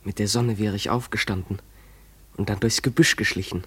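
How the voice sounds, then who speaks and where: An actor playing a dreamy, defiant runaway schoolboy in a German radio play, speaking in an imploring, declamatory way. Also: male voice, fairly low pitch, fairly even intonation, moderate pace, fairly bright tone, slightly rough, no echo. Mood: wistful, dreamy.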